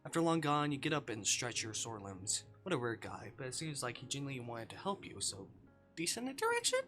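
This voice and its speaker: A man with a bright, forward voice narrates a story game on his stream. He slips between reading and casual, salty commentary, close on the mic, with faint music underneath. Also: mid-range voice, wildly swooping pitch, brisk. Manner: casual, swearing in asides. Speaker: livestreamer. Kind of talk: reading a visual novel aloud on a livestream, with casual asides.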